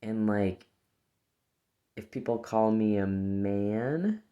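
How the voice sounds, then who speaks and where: Young transmasculine vlogger, deep voice, unhurried and halting, chatting reflectively to camera. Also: male voice, narrow, tinny audio. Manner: hesitant, halting, full of restarts and "like". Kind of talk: casual reflective monologue.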